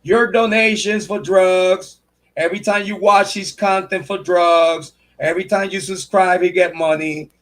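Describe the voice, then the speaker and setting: A man's voice: high-pitched, steady tone, a little slow, a bit bright. A man hosting a true crime livestream, ranting in a heated, profane, repetitive way and stopping to thank viewers by name. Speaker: true crime channel host. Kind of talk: livestream commentary rant.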